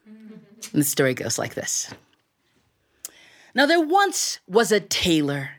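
Expressive American woman telling stories live. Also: lowish voice. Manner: colorful, playful, dramatic. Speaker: storyteller. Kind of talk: live theatrical oral storytelling with audience participation. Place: recorded live in a radio studio before an audience.

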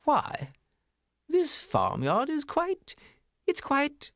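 A prissy, stuck-up city mouse squeaks high above a normal voice, sniffing at everything with fussy, drawn-out scorn, as a man puts him on. Every boast swoops up and down with wild, lively pitch. The sound is close and dry. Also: dry recording, exaggerated voice. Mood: disdainful. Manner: haughty, fussy, drawn-out disdain. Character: snobbish bragging male city mouse.

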